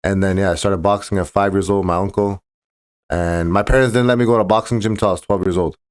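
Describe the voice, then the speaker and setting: A man's voice: low voice, steady tone, smooth. A man who grew up in the Czech Republic, a boxer and podcast guest, talking casually and often restarting his sentences. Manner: fast, restarting, stumbling over words. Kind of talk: casual interview talk.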